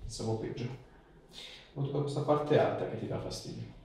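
A man's voice: medium pitch, lively intonation, fairly quick, gravelly, dark tone, very echoey. Male practitioner, thirties, short casual clinical directions and encouragement. Distant mic, faint noise. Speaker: chiropractor and osteopath treating a patient. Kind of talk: hands-on clinical instruction and casual talk. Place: small treatment room, indoors.

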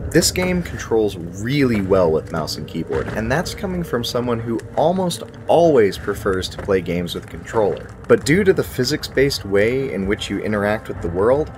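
A man's voice: on the low side, background noise. A man in his thirties giving a scripted, dryly humorous video game review to camera.

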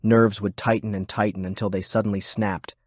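A man's voice: fairly low pitch, little pitch movement, brisk, a little mellow. A man reading scripted fiction as an audiobook narrator.

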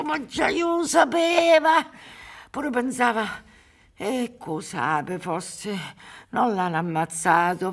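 Slow, creaky, grief-stricken old Sicilian peasant. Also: female voice, mid-range voice, fairly bright tone, full character voice. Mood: desperate. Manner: slow, halting, swinging from whispers to cries. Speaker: stage and radio actress. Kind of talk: dramatic radio play monologue. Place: theatre stage.

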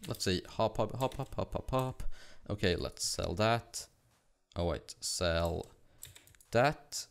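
A young man from Sweden with a Swedish accent, chatting fast and rambling with laughs while narrating his gameplay. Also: fairly low pitch, gravelly, clear, resonant tone. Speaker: gaming YouTuber. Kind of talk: casual gameplay commentary.

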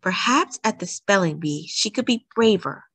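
Woman storyteller, lively swooping intonation, slightly husky, children's read-aloud, dramatic emphasis. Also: medium pitch, dry recording. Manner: deliberate, dramatic emphasis on key words. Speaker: storyteller reading a children's picture book aloud.

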